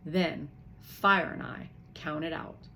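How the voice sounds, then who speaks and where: A woman reading a children's novel aloud with lively expression, pausing to explain words to young listeners. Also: low voice, vocal fry. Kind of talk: expressive read-aloud for kids.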